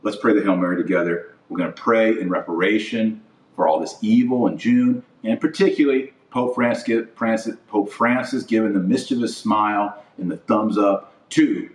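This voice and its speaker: A man with a low voice speaks as the host of a religious podcast. He is blunt and emphatic, hammering his points through repetition in an impassioned monologue. The sound is roomy and echoing, as if he sits back from the microphone. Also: normal speed. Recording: roomy echo, distant from mic.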